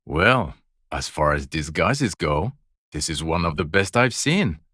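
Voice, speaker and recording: An actor playing a world-weary, fed-up police detective in a video game, profane and sneering, with deadpan sarcasm. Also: male voice, low voice, unhurried, slightly rough, no room sound, studio quality, exaggerated voice. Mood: dry mockery.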